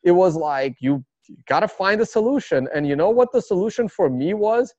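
Higher-voiced Russian-accented man, rambling. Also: animated, normal speed, darkish tone, no echo. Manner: rambling, many fillers and restarts. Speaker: interview guest, consultant. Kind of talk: casual interview talk. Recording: dry, slightly dull sound.

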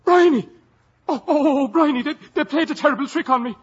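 A man's voice: very high voice, a little fast, crowd noise. A wheedling Irish villager with murder in him. His speech lilts and stumbles, sly and nervous. It is 1950s radio drama on a dull, aged recording. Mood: pleading. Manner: stammering, lilting, sly.

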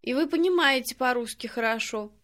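A woman giving slow, clearly enunciated model phrases for a language lesson. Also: high-pitched, a bit bright. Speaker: native speaker in language drills.

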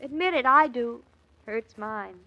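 A woman's voice: on the high side, expressive, sharp tone, dull audio, full character voice. An actress playing a playful, fussy young wife and new mother in a 1940s radio drama, teasing as she scolds. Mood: playful scolding. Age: young adult. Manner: teasing, fussy, quick to banter.

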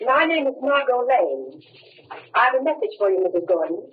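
Calm mysterious woman, earnest, measured, slightly high, old radio drama, phone-like sound. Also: breathy edge. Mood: calm, wistful. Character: composed, mysterious, devoted young woman messenger. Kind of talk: 1930s radio drama acting. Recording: tinny old radio recording.

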